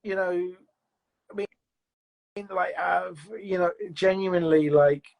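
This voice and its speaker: A man with a slightly raised voice talks through his craft in a loose, reflective ramble. He restarts sentences often and keeps filling gaps with "you know" as he thinks out loud. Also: high-pitched, steady tone, slow, creaky, poor audio. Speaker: audio fiction producer, interview guest. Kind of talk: casual interview chat.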